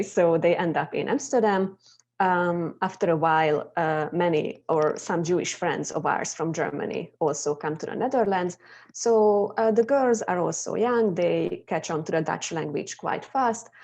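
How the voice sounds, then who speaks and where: A woman's voice: sits low, gravelly, darkish tone, muffled recording. A young adult Hungarian woman with a Hungarian accent, presenting an informal educational tour as a guide on a video call.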